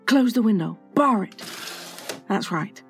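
A man's voice: sits high, expressive, measured pace, a bit bright, soft background music, pitched above the performer's own voice, heavily acted. A man voicing a boastful, scheming boy who never grows up, eager and bragging. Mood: urgent. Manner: eager, bragging, urgent whispering. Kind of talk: audiobook character voice.

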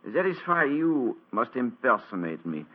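An actor playing an injured German count who speaks with a German accent and many hesitations, in a 1940s radio drama. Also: male voice, mid-range voice, big pitch swings, measured pace, breathy edge. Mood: weak, urgent. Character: injured German count, older man, bedridden diplomat. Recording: tinny lo-fi old radio broadcast.

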